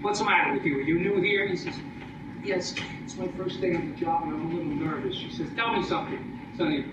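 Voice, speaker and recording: A man's voice: on the high side, fast talker. A man hosting a live stage show with informal patter and joke telling. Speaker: stage host. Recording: noisy, dull recording from far in echoey hall.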